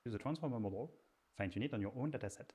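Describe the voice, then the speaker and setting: A man delivers scripted narration for a course introduction video. He speaks at a rapid clip in a brisk, informative manner, his pitch sitting in a middle range. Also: lively intonation, mellow, husky.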